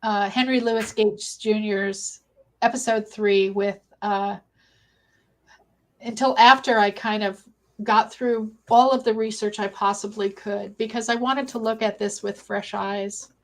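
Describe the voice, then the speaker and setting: A woman speaking as a guest researcher, giving conversational interview answers in a thoughtful, halting way. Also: mid-range voice, fairly even intonation.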